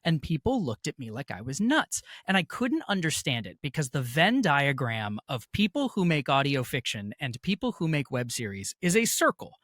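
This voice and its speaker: Animated American man chatting, lively pitch. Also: moderate pace, hardly any pauses, dry recording, clean studio sound. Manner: chatty, jokey, emphatic. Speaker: interview guest, audio fiction producer. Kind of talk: casual conversational storytelling.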